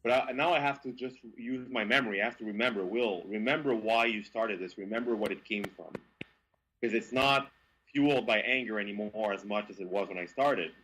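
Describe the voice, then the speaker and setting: Guest, male, Quebec French accent, slightly breathy, animated storyteller with stammered restarts. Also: fairly low pitch, fairly quick, poor audio. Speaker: guest, car reviewer. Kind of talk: casual interview storytelling.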